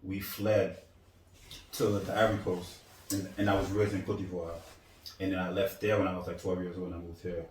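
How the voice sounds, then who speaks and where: A man's voice: deep voice, flat delivery, brisk, a little mellow, slight background noise. A man from Liberia chatting casually on a podcast, rambling loosely and often repeating himself. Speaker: podcast regular. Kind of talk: casual chat. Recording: echoey room, distant mic.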